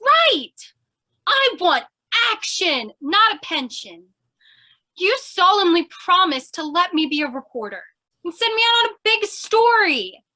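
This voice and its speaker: A feisty young newspaper gal from a vintage radio comedy, acted by a woman. Her voice is high, forceful and very lively, pressing every demand with theatrical impatience. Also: measured pace, full character voice. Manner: insistent, exclamatory, theatrical. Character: feisty, pushy young female aspiring reporter, impatient fiancée. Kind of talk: old-time radio comedy acting.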